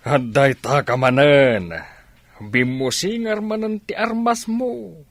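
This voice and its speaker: A man's voice: a little high, slow, dry recording. A man with a Filipino accent acting an exasperated, mocking husband in a radio drama. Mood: mocking, irritated.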